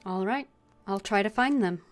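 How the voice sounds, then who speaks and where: A woman's voice: mid-range voice, moderate pace, soft background music. A woman streaming a video game, playing her character with dry, wry remarks. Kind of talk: casual gameplay commentary.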